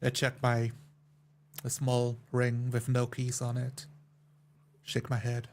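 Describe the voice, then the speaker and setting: A man playing a tabletop game, speaking in a measured, deliberate way as he roleplays and narrates his character. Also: medium pitch, completely flat delivery, darkish tone, a little hiss or hum.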